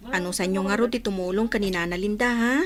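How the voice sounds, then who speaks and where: A woman playing a gentle, grateful young student in an audio drama. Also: mid-range voice, fast, close to an everyday voice. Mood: friendly, grateful. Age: young adult.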